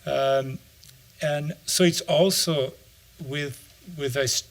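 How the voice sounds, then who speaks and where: A man's voice: fairly high pitch, steady tone, takes it slowly. Reflective middle-aged man. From Brazil. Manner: reflective, searching for words. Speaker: author and anthropologist. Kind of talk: interview answer.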